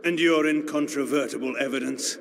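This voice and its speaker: An actor playing a proud, imperious vampire lord in a video game, with a gravelly voice and a haughty, theatrical, commanding manner. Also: male voice, medium pitch, steady tone, a little fast, background noise, close to an everyday voice. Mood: impatient, imperious. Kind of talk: dramatic video game acting.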